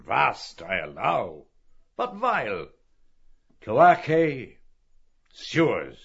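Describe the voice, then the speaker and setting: A man's voice: mid-range voice, husky, dull audio, pitched above the performer's own voice, full character voice. Man doing a swooping, oratorical professor. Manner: oratorical, deliberate, emphatic. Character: grandiloquent, scornful male professor.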